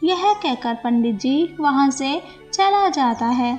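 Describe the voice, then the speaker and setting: A woman's voice: sits high, lively intonation, slow pace, a little mellow, faint music behind. A woman narrating a scripted moral story for children.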